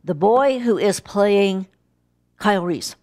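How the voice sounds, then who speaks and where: A woman's voice: mid-range voice, expressive, takes it slowly, a little mellow. A middle-aged woman co-hosting a casual review chat, stressing her points emphatically and repeating words. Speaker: film review show co-host. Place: home kitchen, indoors.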